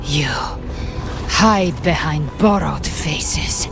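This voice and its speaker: Slow-spoken, defiant young warrior woman. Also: deep voice, creaky, music in the background, heavily acted. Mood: fierce, resolute. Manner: blunt, defiant, deliberate. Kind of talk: dramatic video game voice acting.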